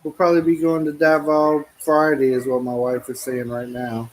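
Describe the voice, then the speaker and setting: A middle-aged man from the American Midwest chatting casually with viewers from the couch at home, easygoing and quick to laugh. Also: a little high, completely flat delivery, background noise. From United States. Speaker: vlogger. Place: living room at home.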